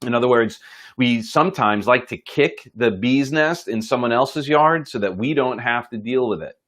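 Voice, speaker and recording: A man telling a motivational story in an informal, folksy and earnest way, pausing for dramatic effect. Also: medium pitch, fairly bright tone. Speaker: host telling a moral story.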